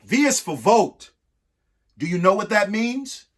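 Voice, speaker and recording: A man reads a picture book to children with theatrical emphasis. His fairly high voice swings widely in pitch, and he takes his time, leaving dramatic pauses between phrases. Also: very animated, a bit bright. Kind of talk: expressive picture-book read-aloud with short asides to young listeners.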